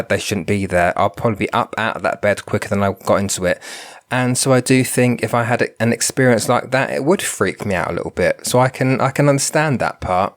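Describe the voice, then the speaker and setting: A man hosting a solo podcast, stuffed up and nasal from a cold, talking in a thoughtful way and often repeating words as he thinks. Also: on the low side. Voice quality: nasal, bunged up. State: congested from a cold. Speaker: podcast host, spiritual medium.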